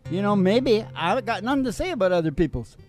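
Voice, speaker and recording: Male host, high-pitched, folksy and irreverent, for rambling comic monologue. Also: expressive, fairly quick, a little mellow, soft background music. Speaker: radio show host and singing DJ. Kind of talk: folksy comedic storytelling monologue over music.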